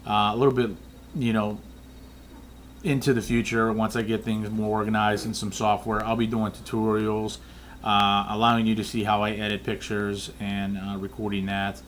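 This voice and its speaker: A man casually explaining night photography in a flat, monotone way, rambling with many fillers and restarts. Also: on the low side, normal speed, slight background noise. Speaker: photography vlogger.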